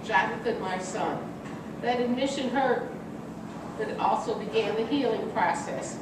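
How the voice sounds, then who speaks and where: A woman's voice: a little high, a little slow, lightly acted. An older woman playing a stern, anxious military officer and mother in an amateur radio play, recorded from far off in an echoing room. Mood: reflective. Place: large echoing room, distant microphone. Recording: very echoey hall from far off, noisy.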